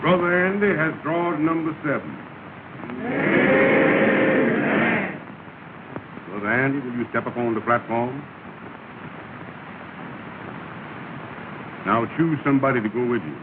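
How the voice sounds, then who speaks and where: An actor playing a pompous, officious middle-aged lodge leader in a 1930 comedy film, speaking ceremoniously in stereotyped dialect. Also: male voice, mid-range voice, lively intonation, a little fast, lightly acted. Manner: ceremonious, declamatory, with malapropisms. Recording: muffled, noisy 1930 film soundtrack.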